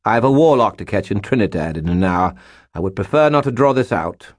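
A man's voice: fairly low pitch, expressive, a little fast, no echo, clean studio sound, pitched above the performer's own voice. A man voicing a pompous character who fakes an obnoxious posh British accent. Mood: haughty. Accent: British (put on). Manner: haughty, obnoxious.

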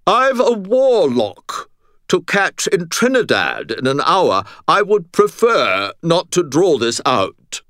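An obnoxiously snooty British wizard lawman from a fantasy audiobook. He talks down to everyone in a high, drawn-out, swooping drawl, pompous to the last word. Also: wildly swooping pitch, fairly bright tone, no echo, studio quality, exaggerated voice. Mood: haughty, condescending. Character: haughty young man posing as imperious aristocratic wizard lawman.

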